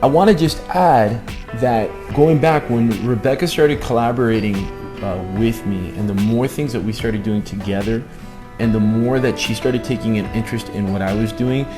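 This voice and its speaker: A man's voice: on the low side, normal speed, music in the background. A middle-aged man hosting a casual vlog chat, emphatic and often restating his points. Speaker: host of a couple's book-review vlog.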